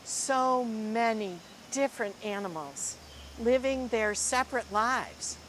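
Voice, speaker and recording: A woman reads a children's story aloud in a fairly high voice. She takes it very slowly, phrasing each line dramatically for young listeners. There is some background noise in the recording. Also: fairly bright tone. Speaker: school librarian reading story time. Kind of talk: expressive children's picture-book read-aloud.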